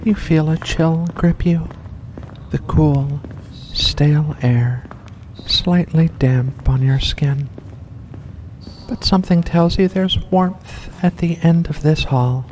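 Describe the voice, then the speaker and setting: A performer voicing a mysterious, seductive vampire in a slow, deliberate ASMR roleplay, courteous and teasing. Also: medium pitch, a little mellow, close to an everyday voice, rough recording. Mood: eerie, hypnotic. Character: seductive mysterious vampire host luring in a guest. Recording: faint background noise.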